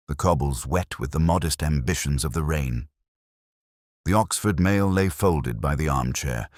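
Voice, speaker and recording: Very deep, brooding detective narrator played by a man. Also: no echo, clean studio sound, full character voice. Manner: measured, literary, wry and brooding. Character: weary, sharp-minded, melancholy older male police detective. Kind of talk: scripted first-person detective narration.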